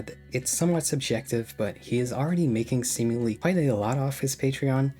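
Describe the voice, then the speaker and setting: A man's voice: medium pitch, a little mellow. A man narrating a scripted video essay as a commentator, critical and sarcastic in tone. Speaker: YouTube commentator.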